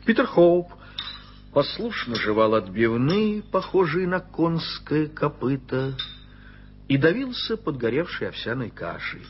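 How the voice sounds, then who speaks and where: A man narrating a radio play with slow, wry storytelling. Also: on the high side, very animated, slight background noise. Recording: dull vintage radio recording with music.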